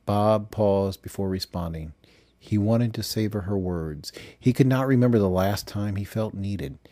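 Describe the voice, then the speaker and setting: Low, mellow male audiobook narrator. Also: unhurried, no echo. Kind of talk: scripted fiction narration.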